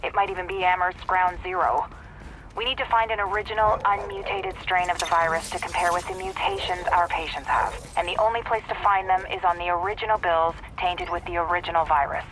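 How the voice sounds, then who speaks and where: A woman's voice: lowish voice, brisk, fairly bright tone, a little hiss or hum, natural voice, poor audio. A woman playing a clinical, matter-of-fact doctor giving a video game mission briefing. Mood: serious.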